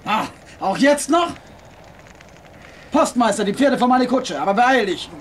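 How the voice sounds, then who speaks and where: An actor playing a haughty, threatening gentleman traveller in a radio play, barking commands. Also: very high-pitched, animated, a little slow, slight background noise. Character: imperious, threatening gentleman traveller demanding horses. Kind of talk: German radio play acting.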